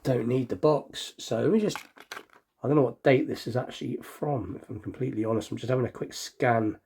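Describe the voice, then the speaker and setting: Male hobby vlogger, mid-pitched, a bit nasal with a slight cold, informal and thinking out loud. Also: a little fast, gravelly, slight background noise. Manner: rambling, thinking aloud. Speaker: hobby unboxing video host. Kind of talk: casual chatty unboxing commentary.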